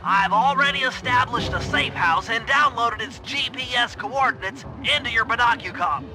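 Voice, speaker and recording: An actor playing a nerdy, careful young turtle gadget genius in a video game, nasal and precise. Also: male voice, very high-pitched, normal speed. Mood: confident. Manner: precise, earnest, bookish briefing. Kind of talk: animated video game cutscene acting. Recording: loud music under the voice. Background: game music.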